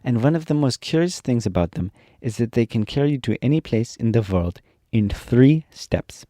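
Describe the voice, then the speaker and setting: A man's voice: mid-range voice, animated, normal speed, mellow, dry recording, clean studio sound, pitched above the performer's own voice, lightly acted. A man voicing a gracious, commanding good witch in a slightly raised, stately voice.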